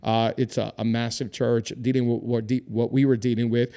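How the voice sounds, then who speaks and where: A man's voice: mid-range voice, level tone, normal speed, clean tone. A man who is a lead pastor, hosting a casual interview, reflective and affirming, asking questions. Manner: warm, reflective, often affirms with "wow". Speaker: lead pastor and podcast host.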